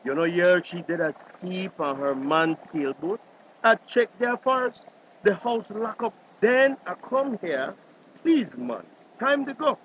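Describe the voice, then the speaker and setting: A man's voice: high voice, very animated, slow, a bit bright, highs cut off, a little hiss or hum, exaggerated voice. A man playing a frantic, pleading older grandfather with a Belizean Creole accent in a radio drama. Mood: desperate, urgent. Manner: urgent, pleading. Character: frantic grandfather searching for his missing granddaughter.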